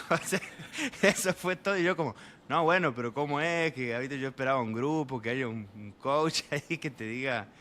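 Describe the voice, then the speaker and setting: A young man from Argentina with an Argentine Spanish accent, an interview guest telling travel stories in a relaxed, rambling way full of fillers. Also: medium pitch, moderate pace, a little hiss or hum. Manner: rambling, many fillers, voices quoted people. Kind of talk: casual storytelling in an interview.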